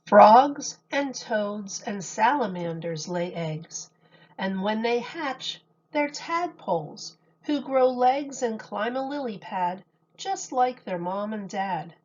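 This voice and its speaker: Slow, slightly husky woman reading to children. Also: mid-range voice, lo-fi sound. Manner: deliberate, expressive storytelling delivery addressed to children. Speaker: teacher. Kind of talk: picture book read-aloud for young children.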